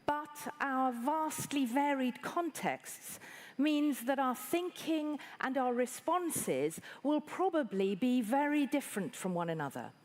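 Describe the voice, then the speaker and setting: A woman from Iran speaks as a bishop giving a formal keynote. Her voice sits fairly high and her pace is slow and measured. She delivers prepared sentences in an articulate, orderly way. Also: lively intonation, darkish tone.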